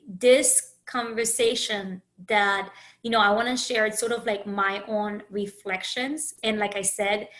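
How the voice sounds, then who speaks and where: A woman who grew up in Haiti, hosting her own podcast, talking through her thoughts in an earnest, searching way with many restarts and pauses. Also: medium pitch, fairly bright tone. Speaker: podcast host and coach. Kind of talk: reflective solo podcast monologue.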